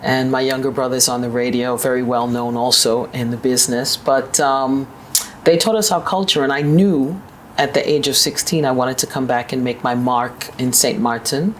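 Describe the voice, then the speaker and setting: A woman's voice: steady tone, moderate pace. Woman, fifties, New York accent, assertive interview guest, faint room hum behind. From New York, USA. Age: 50s. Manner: assertive, upbeat, with sudden high pitch lifts. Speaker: guest, managing director. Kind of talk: conversational interview storytelling.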